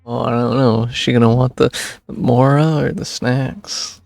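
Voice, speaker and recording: A game streamer with a husky voice making casual reaction commentary in offhand asides. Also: medium pitch, fairly even intonation, normal speed, darkish tone, soft background music.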